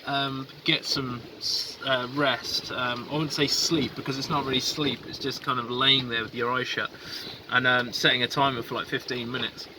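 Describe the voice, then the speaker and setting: Guy vlogging from his sailboat, lots of ums, wind and waves loud behind him. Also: mid-range voice, flat delivery. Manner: halting, lots of ums and restarts. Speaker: solo sailor vlogger. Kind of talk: casual video diary. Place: outdoors on a small sailboat at sea. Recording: wind and boat noise. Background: wind, water and boat sounds.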